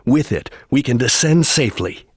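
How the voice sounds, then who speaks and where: A stern ninja chameleon from a video game. The voice is husky and moves widely in pitch around a middle register. Lines come out clipped, formal and solemn, like those of a disciplined fighter, recorded very dry. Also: male voice, expressive, moderate pace, no echo. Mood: measured, instructive. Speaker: video game character giving battle lines and tutorials. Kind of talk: dramatic video game voice acting.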